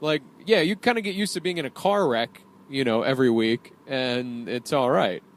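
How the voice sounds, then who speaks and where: A man's voice: mid-range voice, normal speed. A man co-hosting a podcast from a car, talking in a rambling way with many fillers and getting heated when riled. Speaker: podcast co-host, wrestling trainer. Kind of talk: casual banter while driving. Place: inside a car.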